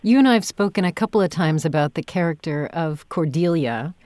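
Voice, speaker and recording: A woman hosting a conversational interview, speaking in a thoughtful, halting way as she works out her phrasing. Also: sits low, lively intonation, darkish tone, no echo. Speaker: podcast host, audio drama director and audiobook narrator.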